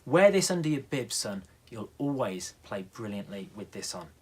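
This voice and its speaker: A man's voice: on the low side, very animated, normal speed, a little mellow, breathy edge. A man voicing a proud, encouraging father talking to his young son in a bedtime story.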